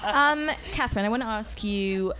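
A woman's voice: mid-range voice, moderate pace, bright, forceful tone, a faint crowd, a little hiss or hum. A woman hosting a book-event panel, asking guests questions in an emphatic, enthusiastic way. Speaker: host and interviewer.

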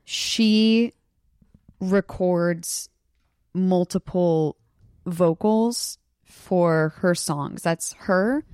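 Woman, young adult, from Southeast Alaska, podcast guest, excited storyteller, lowish voice, dry close sound. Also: clear, resonant tone, no echo. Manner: excited, storytelling, breaks into laughter. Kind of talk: casual chat.

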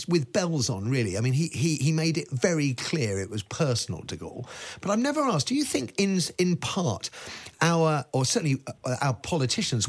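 Chatty male talk radio host. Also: fairly high pitch. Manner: lively, chatty, jokey interjections.

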